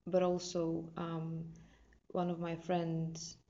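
A woman's voice: lowish voice, completely flat delivery, slow, mellow. A woman in her twenties from Poland with a Polish accent, a drama student talking casually and hesitantly about herself, in a dull lo-fi recording. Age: 20s. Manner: hesitant, many ums and restarts. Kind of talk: casual personal talk.

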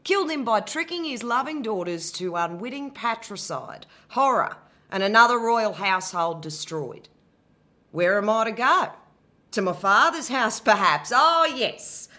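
A woman in her thirties playing a betrayed, bitter queen in a dramatic monologue, sarcastic and swinging from low muttering to loud outbursts. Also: animated, unhurried. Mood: anguished. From Australia. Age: 30s. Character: betrayed furious queen, bitter abandoned wife. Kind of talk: dramatic monologue, audition piece.

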